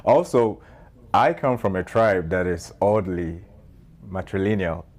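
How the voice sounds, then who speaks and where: A Ghanaian man answering interview questions in a measured way, with many fillers and restarts. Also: low voice, lively intonation, darkish tone. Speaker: guest, nonprofit founder.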